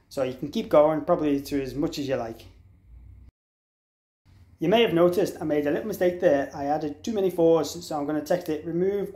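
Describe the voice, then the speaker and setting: A man with a slightly high voice talks through a product tutorial in an echoey room. He explains things step by step in an informal, unscripted way, carefully spelling out letters and numbers. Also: a little mellow. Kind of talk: instructional walkthrough, informal and unscripted. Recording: echoey, roomy sound.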